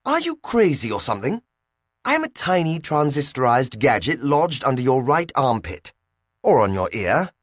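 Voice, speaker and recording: A man's voice: mid-range voice, big pitch swings, moderate pace, no room sound, studio quality, pitched above the performer's own voice. A man voicing a touchy little translator gadget that talks with a put-on foreign accent and takes offense easily. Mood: indignant. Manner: prickly, huffy, easily insulted.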